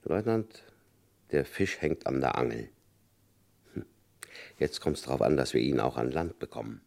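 An actor playing a stern middle-aged FBI agent who gives clipped, commanding orders in an old radio crime play. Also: male voice, a bass voice, sing-song, measured pace, gravelly, darkish tone, exaggerated voice. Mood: brisk.

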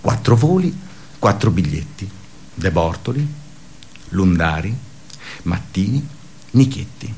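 A sardonic, brooding office worker with a lowish voice. He delivers a theatrical radio monologue, weighing his words with pauses and bitter emphasis. There is some faint noise and ambience behind him. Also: darkish tone. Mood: dry sarcasm.

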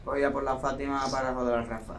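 Teen guy doing a brash football lad winding people up, andalusian accent, fast talker, school radio play. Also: mid-range voice, little pitch movement, slight background noise, lightly acted. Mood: leering. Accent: Andalusian Spanish. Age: teens. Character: brash, goading teenage boy footballer. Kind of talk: amateur radio drama acting.

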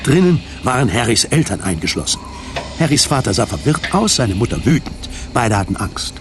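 A man narrating a scripted radio drama in a measured, precise storytelling manner. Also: medium pitch, sing-song. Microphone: studio microphone. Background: music.

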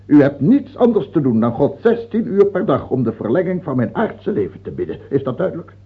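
An actor playing a dying, tyrannical king of France in a radio drama, fearful and halting, then imperious. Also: fairly high pitch, big pitch swings, breathy edge, heavily acted. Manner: halting and stammering, turning imperious. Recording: muffled, tinny old radio-play recording.

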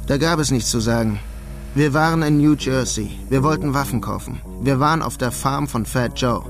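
Man as struggling artist, medium pitch, crime-story protagonist and narrator, radio drama, music underneath. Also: moderate pace, natural voice. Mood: dry narration.

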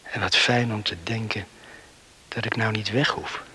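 An actor playing an exhausted young man just rescued and waking up, murmuring dreamily to himself in a hushed, half-whispered radio drama monologue. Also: on the low side, steady tone, breathy edge, full character voice. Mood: relieved, content. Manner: slow, dreamy, musing to himself.

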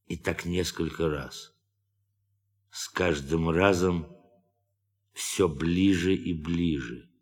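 An actor in an audio drama playing a brooding, idealistic astronaut who has turned killer, speaking in a measured, deliberate way. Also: male voice, low-pitched, a little mellow, in a large hall. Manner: measured, deliberate, long pauses. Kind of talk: Russian audio drama acting.

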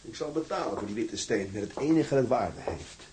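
A man's voice: mid-range voice, lively intonation, moderate pace, darkish tone, a little hiss or hum, lightly acted. An actor playing an imprisoned seaman, a righteous and obsessive self-styled martyr, in a vehement, declamatory drama style. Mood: fervent. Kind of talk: dramatized radio or audio drama acting.

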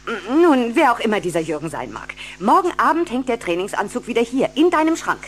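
This is a suspicious, insistent mother in a children's audio drama. Her delivery is brisk and her pitch swoops. There is a faint noise underneath. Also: very animated. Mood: suspicious, stern.

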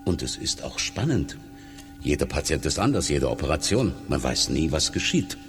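Blunt surgeon, deep voice, echoing hall. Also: male voice, sing-song, normal speed, music in the background. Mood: detached, reflective. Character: blunt, hardened male surgeon, wry and detached. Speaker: surgeon and hospital doctor. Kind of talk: radio drama acting.

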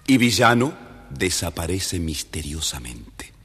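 A man with an Argentine accent narrating a scripted children's story, expressive and theatrical. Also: low-pitched, slow pace, husky, in a large hall.

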